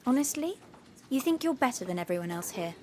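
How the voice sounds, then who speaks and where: An actress playing a catty, scornful office receptionist in an audio drama. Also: female voice, fairly high pitch, big pitch swings, brisk, warm tone, slight background noise.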